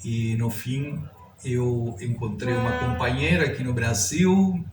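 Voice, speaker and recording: A man in his sixties, a native Spanish speaker with a Chilean accent, talking reflectively and haltingly as an interview guest. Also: medium pitch, over music. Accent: Chilean Spanish accent in Portuguese. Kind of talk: reflective autobiographical interview talk. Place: indoors at a publisher's office. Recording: echoey room, distant mic, clear background noise.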